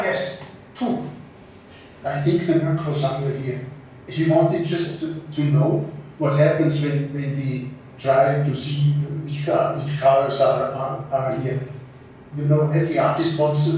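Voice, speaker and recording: A man from West Austria with an Austrian German accent, a German speaker recalling past exhibitions in halting English full of repeats and restarts, in a large exhibition space. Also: fairly high pitch, dark tone, slight background noise. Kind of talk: casual recollection on a guided tour. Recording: roomy hall, speaker far from mic.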